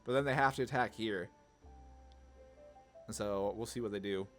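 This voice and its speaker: A man's voice: on the low side, moderate pace, a bit bright. A man giving casual gameplay commentary, thinking aloud in a laid-back, slangy way. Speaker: card game video host. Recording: music under the voice. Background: music.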